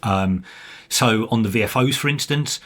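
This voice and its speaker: A man giving an informal software walkthrough as its developer, explaining step by step with pauses mid-sentence. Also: on the low side.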